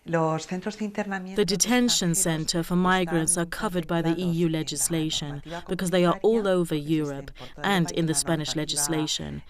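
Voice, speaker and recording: Formal lowish-voiced woman, crowd behind. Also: fairly even intonation, moderate pace. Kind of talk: explanatory documentary interview. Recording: clear crowd noise behind.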